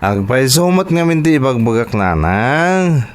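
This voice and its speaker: A man's voice: mid-range voice, very animated, a little slow. A man playing a tipsy, boastful grown son in love in a radio drama, with an Ilocano accent.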